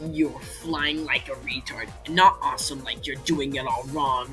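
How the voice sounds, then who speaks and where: A man's voice: mid-range voice, steady tone, normal speed, sharp tone, faint music behind, lightly acted. A man voicing a cocky, boastful, foul-mouthed dragon in an amateur cartoon dub, with a brash manner. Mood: scornful, bossy. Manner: brash, bragging. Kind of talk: amateur comic dub character acting.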